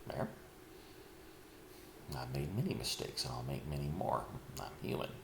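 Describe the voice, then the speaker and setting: A middle-aged man speaking a slow, heavy personal confession, pausing often and sighing. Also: extremely low pitch, sing-song. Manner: slow, heavy, halting with sighs. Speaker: vlogger. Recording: echoey room with clear background noise.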